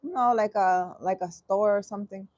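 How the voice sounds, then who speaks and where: A woman's voice: mid-range voice, moderate pace, a little mellow, dry recording, highs cut off. A woman reading a dream interpretation aloud and breaking off with sarcastic, exasperated comments. She swears casually and often restarts her sentences. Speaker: spiritual vlogger.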